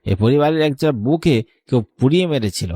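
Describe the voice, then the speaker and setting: A man's voice: fairly high pitch, lively intonation, fairly quick, mellow, no room sound, clean studio sound. A man voicing an earnest, anxious but determined young madrasa student in a horror cartoon.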